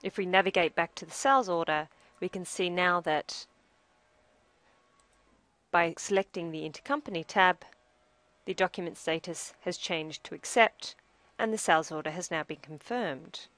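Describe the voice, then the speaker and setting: Low-voiced woman narrating a software demo. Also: normal speed, no echo. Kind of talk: scripted narration of a software tutorial.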